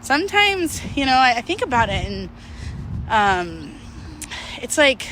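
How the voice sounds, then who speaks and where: Bright-voiced woman, candid rambling confessional vlog. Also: a little high, big pitch swings, unhurried, a little hiss or hum, poor audio. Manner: rambling, candid, self-deprecating, swears freely. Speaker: vlogger. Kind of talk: casual confessional chat to camera.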